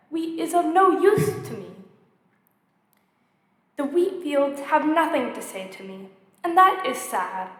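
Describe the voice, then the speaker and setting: Lady reading a lonely lil storybook fox, earnest, slow, very up and down, echoey. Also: high-pitched. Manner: earnest, gently dramatic storybook delivery. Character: lonely wistful fox longing to be tamed. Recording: echoey hall with long reverb.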